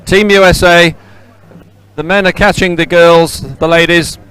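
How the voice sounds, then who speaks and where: A man giving live, unscripted sports commentary outdoors, halting often to repeat and correct himself. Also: sits high, level tone, a bit bright, clear, resonant tone, faint music behind, rough recording. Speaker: race commentator. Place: outdoors beside a race course.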